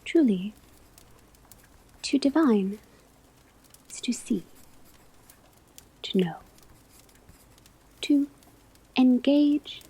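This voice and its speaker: Breathy, whispering witch-school teacher, acted by a woman. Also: mid-range voice, dark tone, heavily acted, low-quality recording. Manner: measured, deliberate, formal lecturing. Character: patient female witch-academy divination teacher, gently stern, scholarly. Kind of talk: whispered ASMR classroom roleplay, lecturing.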